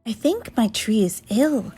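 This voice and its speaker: A woman's voice: a little high, very animated, a little slow, mellow, soft background music. A woman voicing an earnest, worried young male fox cub who pleads and protects in a storybook reading.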